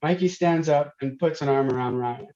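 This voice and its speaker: A man's voice: on the high side, moderate pace, warm tone. A man reading scripted stage directions as narration at a table read. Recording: muffled, dull video-stream audio.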